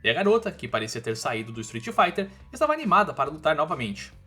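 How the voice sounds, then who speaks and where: A man's voice: fairly high pitch, very animated, fast talker, pushed and bright. A man with a Brazilian accent narrating a scripted story recap in a colloquial, informal way with casual asides. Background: background music.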